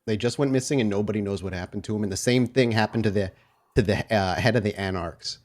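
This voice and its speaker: A man narrating a tabletop roleplaying game as game master, explaining in a measured way. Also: fairly low pitch.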